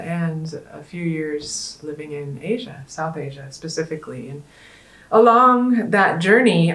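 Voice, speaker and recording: A woman with a somewhat low voice leads a conference session. She talks in an earnest, conversational way, often pausing on ums as she gathers her thoughts. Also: echoey room. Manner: earnest, conversational, with frequent ums and trailing pauses. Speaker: panel host. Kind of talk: casual conference panel talk.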